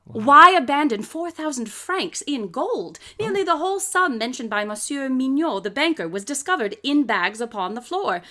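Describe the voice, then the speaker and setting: An actress playing a brilliant, coolly confident detective who lectures with precise, theatrical diction in an audio drama. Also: female voice, sits high, very animated, moderate pace, hardly any pauses, bright, forceful tone, exaggerated voice. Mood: assured, expository. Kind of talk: live audio drama acting.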